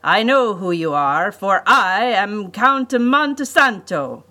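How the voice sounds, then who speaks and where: A woman voicing a pompous, menacing male villain, a vain botanist, in a storybook reading with slow, emphatic delivery. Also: mid-range voice, sing-song, sharp tone, pitched above the performer's own voice, full character voice. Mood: boastful.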